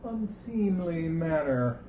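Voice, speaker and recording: Man playing a high-voiced, fussy old boss, roomy recording. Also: animated, dark tone. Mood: hesitant, regretful. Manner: halting, formal, grave. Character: elderly male company boss, hesitant and fussy. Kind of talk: staged dramatic reading of a short story. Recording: roomy, muffled live recording with hiss.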